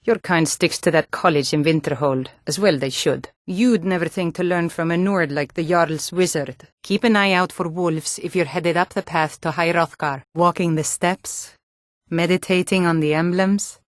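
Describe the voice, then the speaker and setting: A woman's voice: on the low side, moderate pace, no room sound, clean studio sound, close to an everyday voice. A woman voicing a hardy, proud Nord warrior in a fantasy video game, speaking in an earnest, firm and plain-spoken way. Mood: scornful, then calm, reserved.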